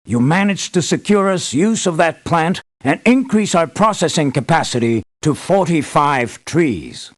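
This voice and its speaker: An actor playing an earnest, measured male leader giving formal, deliberate briefings in a scripted video game. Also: a little high, expressive. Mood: measured, approving. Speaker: mission briefer.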